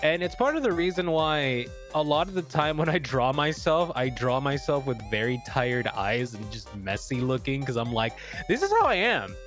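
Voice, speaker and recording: A man's voice: mid-range voice, big pitch swings, normal speed, hardly any pauses, a bit bright, soft background music. Rambling young man streamer. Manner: rambling, many restarts and fillers. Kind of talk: casual chat.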